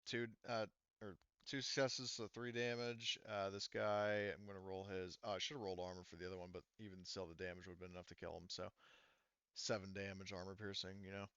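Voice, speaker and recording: A man's voice: low voice, flat delivery, fairly quick, a bit bright, smooth, no echo. A man running a tabletop roleplaying game as game master, narrating in a casual, colloquial way. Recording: dull, lo-fi sound.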